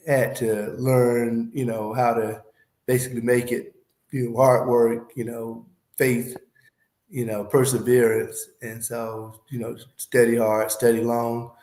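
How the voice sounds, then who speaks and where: A man's voice: mid-range voice, a little slow, roomy sound. A man in his fifties from Alabama with a Southern accent that has a Midwestern tinge, talking casually as an interview guest and often saying "you know". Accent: Southern US with Midwestern speech. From Alabama, USA. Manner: relaxed, frequent "you know" fillers.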